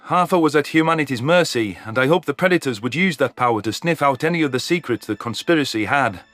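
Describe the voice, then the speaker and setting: A man's voice: mid-range voice, lively intonation, fast talker, fairly bright tone, no room sound. A man reading scripted first-person science fiction narration as an audio story narrator. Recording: music under the voice.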